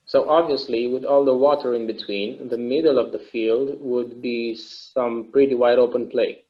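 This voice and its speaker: A man's voice: mid-range voice, fairly even intonation, moderate pace, natural voice. A man acts out an easygoing, inventive husband in a stage play read. His mid-range voice is conversational and musing. The recording is a little dull and lo-fi. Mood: musing, eager. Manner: casual, musing, agreeable. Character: easygoing, imaginative husband who enjoys inventing games.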